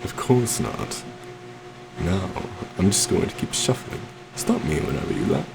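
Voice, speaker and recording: A suave, possessive stage magician acted by a man in an ASMR roleplay, speaking very low with lively, swooping intonation. He is courtly and theatrical, sweetly menacing as he coaxes, over a clear steady background hum. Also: measured pace, heavily acted. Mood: playful showmanship. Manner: theatrical, courtly, soft coaxing with terms of endearment.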